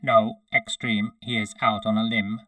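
A man's voice: fairly low pitch, little pitch movement, unhurried, dark tone, no echo, close to an everyday voice, rough recording. Man voicing a toneless metallic cyborg soldier. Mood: toneless, obedient. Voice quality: metallic, synthesized. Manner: toneless, clipped, matter-of-fact. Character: obedient, emotionless male cyborg centurion soldier.

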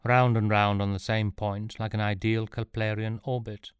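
A man's voice: on the low side, level tone, moderate pace, smooth, no echo, close to an everyday voice. A man voicing a young male scholar who is sardonic and teasing, with a dry manner. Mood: wry.

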